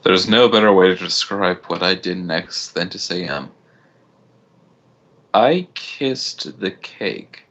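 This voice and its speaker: A man's voice: low voice, animated, slow, a bit bright, lo-fi sound. A man reading fan fiction aloud as a first-person narrator.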